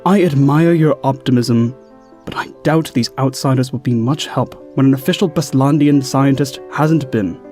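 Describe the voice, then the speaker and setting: A man's voice: medium pitch, normal speed, warm tone, soft background music, close to an everyday voice. A man playing a dignified, skeptical town watchman in a fantasy audio drama, speaking formally and precisely. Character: dignified, skeptical male town watchman, weary obsessive cloud observer.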